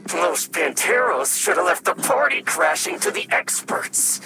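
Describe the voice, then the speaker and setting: Very high, menacing gang leader. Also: male voice, big pitch swings, a little slow, over music, heavily acted, poor audio. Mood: taunting, menacing. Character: menacing, theatrical masked party gang leader. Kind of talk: video game cutscene acting.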